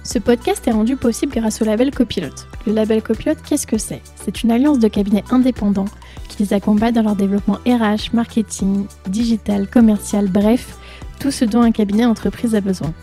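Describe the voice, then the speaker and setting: A woman hosting a podcast, reading a lively intro and then chatting casually with her guest. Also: mid-range voice, a little fast, soft background music. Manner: upbeat, chatty, uses fillers. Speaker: podcast host.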